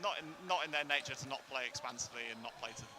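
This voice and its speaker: A man doing live play-by-play commentary at an indoor court, talking into a headset in the commentary box, often correcting himself and rising with excitement at big plays. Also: medium pitch. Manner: rapid play-by-play with self-corrections and sudden excited rises. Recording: noisy hall with ball bounces.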